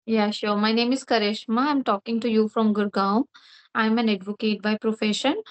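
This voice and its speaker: Indian English woman host, slightly high voice, chatty casual conversation. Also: fairly even intonation, moderate pace. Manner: friendly, talkative.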